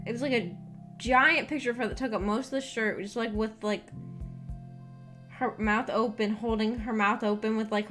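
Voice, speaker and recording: A young woman with a fairly high voice chats casually to her livestream audience. She rambles through personal stories in a giggly, animated manner, leaning often on "like". Music plays behind her. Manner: giggly, animated storytelling with frequent "like". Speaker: streamer hosting a visual novel book club. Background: background music.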